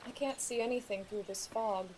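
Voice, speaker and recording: Casting: actress for bewildered, hesitant female patient, radio theatre. Dark-toned voice over faint background noise. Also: a little high, normal speed, natural voice. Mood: wary, bewildered. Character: frightened, bewildered young female patient in dream therapy.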